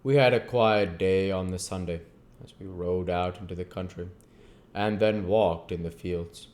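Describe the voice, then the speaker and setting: A male narrator with a low voice reads literary prose aloud. He delivers it in an audiobook style as the host of the reading. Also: steady tone, measured pace, a little mellow.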